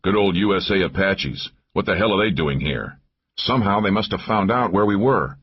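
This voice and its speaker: A man's voice: deep voice, animated, normal speed, breathy edge, dull audio. A man voicing a tough military man in an audiobook thriller, speaking in clipped, emphatic bursts. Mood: stunned. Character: tough military man, startled, barking warnings.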